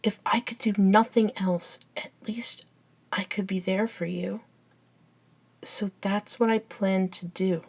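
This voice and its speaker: A woman playing an earnest, bookish young best friend in an ASMR audio roleplay, soft-spoken and hesitant, with pauses. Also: mid-range voice, fairly even intonation, creaky, husky, full character voice. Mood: sincere, confiding. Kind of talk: ASMR roleplay acting, one side of a dialogue.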